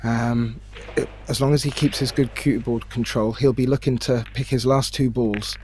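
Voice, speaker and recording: A man giving conversational live pool commentary in measured phrases, often repeating a word as he thinks. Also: medium pitch, fairly even intonation, darkish tone, a little hiss or hum. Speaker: sports commentator. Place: remote commentary from his own location.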